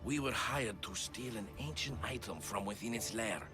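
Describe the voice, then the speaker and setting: A man's voice: on the low side, moderate pace, music in the background, lightly acted. A man playing a grim, philosophizing mercenary spearman in a video game, solemn and brooding. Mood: grave. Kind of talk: dramatic video game acting.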